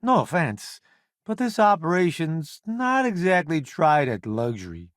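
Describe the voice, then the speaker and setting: A man's voice: on the high side, sing-song, measured pace, dry recording, clean studio sound. A man playing a flamboyant, wisecracking celebrity food critic turned spaceship crewmate in a video game, glib, theatrical and sardonic. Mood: sardonic, teasing. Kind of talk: video game character voice acting.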